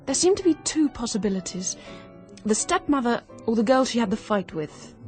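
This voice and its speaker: An actress playing a keen, quick-witted young woman turned amateur sleuth in a radio drama, brisk, confident and persuasive. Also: a little high, very animated, darkish tone, faint music behind. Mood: scheming, brisk.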